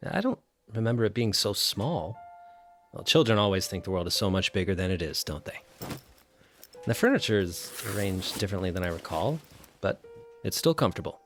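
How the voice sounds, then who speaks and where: An actor playing a troubled, bookish, melancholy man in an audio drama, speaking and narrating in a halting, introspective way. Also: deep voice, sing-song, faint music behind. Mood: brooding, reflective. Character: troubled, bookish, melancholy man revisiting childhood holiday guesthouse.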